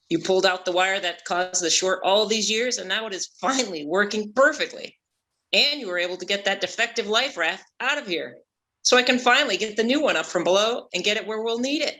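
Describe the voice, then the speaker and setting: A formal sea captain from a stage comedy script, played by a woman. The voice is bright and forceful, and the phrasing is pompous and old-fashioned. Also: mid-range voice, expressive, a little fast. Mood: stern, formal. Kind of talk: theatrical script table read.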